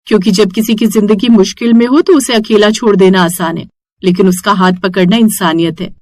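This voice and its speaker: A woman voicing a kind, hardworking mother who runs a food stall in an animated moral story, caring and reassuring. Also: medium pitch, brisk, natural voice.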